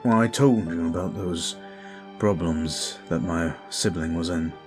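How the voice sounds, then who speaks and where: Brooding male vampire played by a man, very deep voice, slow, soft and confiding, ASMR roleplay audio, faint background music. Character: brooding male vampire, weary and guilt-ridden.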